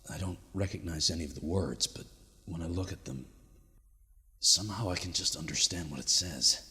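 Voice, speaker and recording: A man's voice: low voice, moderate pace, sharp tone. A man acting a weary, haunted soldier who records a grave, confiding survival log in an audio drama. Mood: grave, curious. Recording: echoey hall with long reverb.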